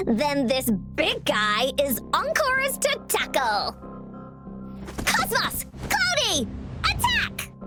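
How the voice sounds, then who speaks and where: A woman voicing a bubbly, boastful little girl fighter in an anime game, squeaky and gleeful, with game music behind. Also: huge pitch swings, extremely slow, heavily acted. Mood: gleeful battle cry. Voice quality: childlike, squeaky. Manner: gleeful, exclamatory.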